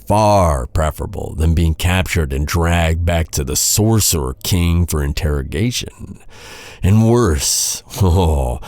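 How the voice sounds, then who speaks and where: An actor playing a bitter, sardonic knight who taunts a royal captive with mock courtesy in a dark fantasy audio roleplay. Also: male voice, a bass voice, dry recording, heavily acted. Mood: dark, accusing. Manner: sardonic, mock-courteous, brooding, with sighs and pauses.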